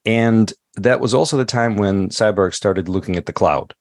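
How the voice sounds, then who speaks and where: A middle-aged man whose first language is not English talks through his answers in an easygoing way, stopping often on fillers and restarts. His voice sits very low. The sound is dry and close, with no room echo. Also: moderate pace. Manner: thoughtful, many fillers and restarts. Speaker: podcast guest. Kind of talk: casual interview talk.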